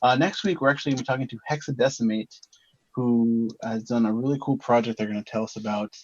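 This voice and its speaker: A man's voice: medium pitch, fairly even intonation, fast talker, a little mellow, no echo. Podcast host, man, chatty and upbeat, dry dull lo-fi sound. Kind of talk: casual chat.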